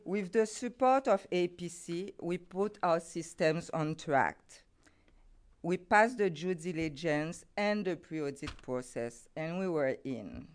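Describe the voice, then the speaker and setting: Middle-aged Haitian-accented woman, non-native English, unhurried delivery, smooth clear tone. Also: fairly low pitch, animated. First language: Haitian Creole or French. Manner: careful, deliberate, formal phrasing. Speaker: guest speaker, NGO program head. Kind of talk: prepared conference talk.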